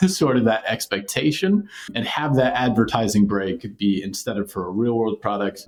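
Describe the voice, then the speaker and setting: A man's voice: fairly low pitch, darkish tone. A man being interviewed as a podcast creator, talking conversationally and reflectively in long, winding sentences.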